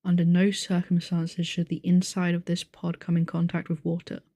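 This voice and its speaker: A woman playing a calm game master who narrates a sci-fi tabletop adventure in measured, descriptive speech. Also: on the low side, no echo. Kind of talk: scripted narration, ASMR audio roleplay.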